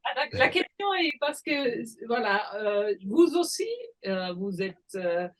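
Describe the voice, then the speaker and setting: Slow, halting woman moderator. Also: on the high side, lively intonation, fairly bright tone, low-quality recording. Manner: hesitant, halting questions. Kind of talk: panel discussion.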